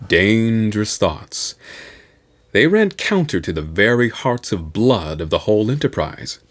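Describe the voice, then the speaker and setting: Man, lowish voice, swooping expressive intonation, theatrical and deliberate, reading science fiction aloud. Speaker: host reading an audiobook. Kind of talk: scripted narration of a science fiction novel.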